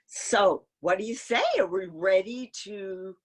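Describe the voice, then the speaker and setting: A woman hosting a children's storytime, chatting in an expressive, playful way. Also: mid-range voice, unhurried. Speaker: storytime host.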